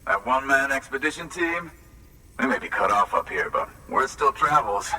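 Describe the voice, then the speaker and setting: Game outpost worker, very bright forceful voice, excitable and friendly, slightly distant with faint noise. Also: male voice, medium pitch, normal speed. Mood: impressed, playful. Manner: excitable, casual American slang. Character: upbeat eager male outpost worker, friendly, starstruck. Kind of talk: video game cutscene acting.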